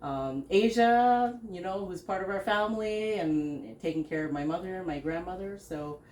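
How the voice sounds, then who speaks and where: A middle-aged woman hosting a podcast from a living room sofa, telling her own story in a halting, casual way with many fillers and short laughs. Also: fairly low pitch, darkish tone, reverberant, a little hiss or hum. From Philippines, raised in Canada. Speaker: podcast host and business founder. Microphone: stand mic between speakers.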